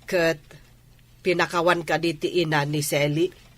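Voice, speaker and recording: A woman's voice: low-pitched, little pitch movement, unhurried. A woman with an Ilocano accent playing a skeptical, questioning village woman in a radio drama.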